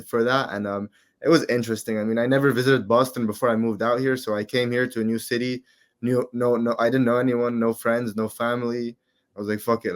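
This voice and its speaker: A man in his twenties from Qatar, a guest in a casual interview, talking in a relaxed, rambling way with lots of fillers. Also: medium pitch. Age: 20s. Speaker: guest, amateur MMA fighter.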